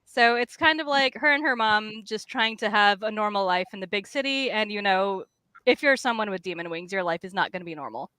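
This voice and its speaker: A woman comic artist chatting casually about her work, with an animated, self-deprecating, slangy way of talking. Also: medium pitch, fairly quick, smooth, no room sound. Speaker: comic artist and writer, guest.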